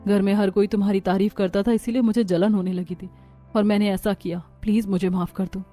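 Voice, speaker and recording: A woman's voice: medium pitch, fairly even intonation, fairly quick, warm tone, soft background music, lightly acted. A woman voicing an apologetic, remorseful sister-in-law with an earnest, confessing delivery.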